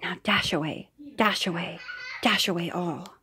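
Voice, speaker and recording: A woman's voice: lowish voice, measured pace, background noise. Mother reading a storybook aloud. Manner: gentle, with soft whispered asides.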